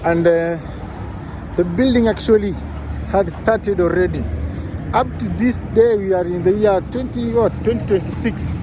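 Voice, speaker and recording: A young Kenyan man with a Kenyan accent, chatting casually and rambling a bit as he vlogs outdoors in the city. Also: high-pitched. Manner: chatty, rambling, self-correcting. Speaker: vlogger. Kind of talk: casual walking vlog. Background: traffic noise.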